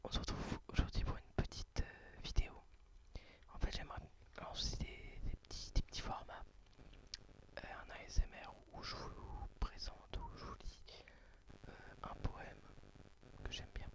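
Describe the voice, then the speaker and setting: Whispering ASMR host, halting and informal. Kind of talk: whispered ASMR poem reading and book chat.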